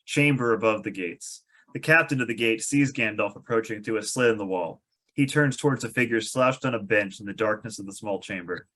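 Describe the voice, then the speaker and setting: A man's voice: on the low side, brisk, pushed and bright. A man reading stage directions aloud as narrator of a fantasy screenplay table read.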